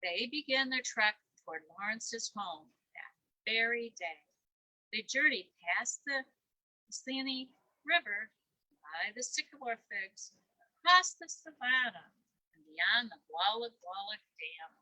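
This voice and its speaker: Older female storyteller for children's read-aloud: lively, swooping intonation, slow pace, playful asides, close dry sound. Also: fairly high pitch, dry recording, rough recording. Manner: expressive, asks playful questions. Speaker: volunteer grandparent storyteller.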